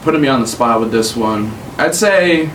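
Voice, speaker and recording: A man's voice: medium pitch, lively intonation, normal speed. A young man hosting a podcast, giving casual, rambling advice with lots of fillers. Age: young adult. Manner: laid-back, rambling advice with many fillers. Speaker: podcast host. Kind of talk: casual chat. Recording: roomy sound from a distant mic with faint hiss.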